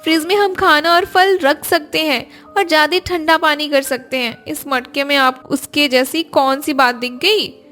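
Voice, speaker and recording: A woman voicing a cheerful, playful young daughter-in-law in a family cartoon, chatty and lively. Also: high-pitched, unhurried, soft background music.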